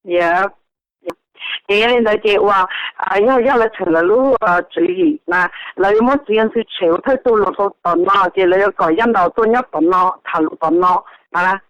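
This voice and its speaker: A woman's voice: mid-range voice, no room sound. A woman talking casually as a participant on a conference call. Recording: dull lo-fi telephone line.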